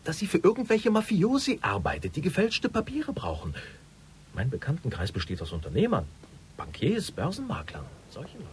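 Animated, anxious businessman. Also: a little high, normal speed, slight background noise. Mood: worried, agitated. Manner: agitated, earnest, dramatic confiding. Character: anxious, lovesick wealthy businessman confiding in his half-sister. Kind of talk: radio crime drama acting.

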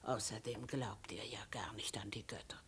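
An actress playing a very old, mild and candid servant in a 1950s radio play, respectful yet gently admonishing. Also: female voice, rumbling low, steady tone, normal speed, breathy edge, exaggerated voice. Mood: gently reproachful.

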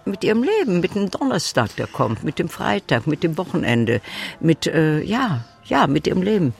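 A woman's voice: lowish voice, big pitch swings, normal speed, gravelly, darkish tone, husky, soft background music, exaggerated voice. An actress playing a spirited, frank widow in her seventies, talking effusively with dramatic emphasis in a naturalistic radio drama. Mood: eager, warm. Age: 70s.

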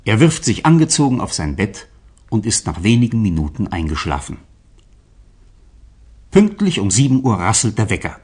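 Male radio-play narrator: deep-leaning, rough-edged voice, expressive scripted storytelling. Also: moderate pace, pauses often.

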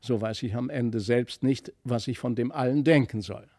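A man's voice: medium pitch, moderate pace, dark tone, dry recording, studio quality, lightly acted. A man voicing a pondering, puzzled country nobleman and father in a storybook reading.

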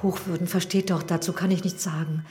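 A woman's voice: on the low side, steady tone, fairly quick, darkish tone, soft background music, lightly acted. Guarded village housekeeper played by a woman. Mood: evasive. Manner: deferential, evasive, hesitant. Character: guarded, deferential widowed housekeeper of a village parson. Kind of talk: German radio drama acting.

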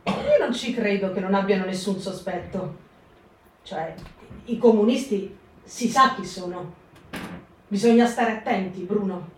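A woman's voice: mid-range voice, a little slow, long pauses, a little hiss or hum, lightly acted. A woman voicing a frightened wartime woman helping partisans, in a reflective, anxious monologue. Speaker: actor in a radio reading. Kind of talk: stage reading for radio. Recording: echoey room, distant mic.

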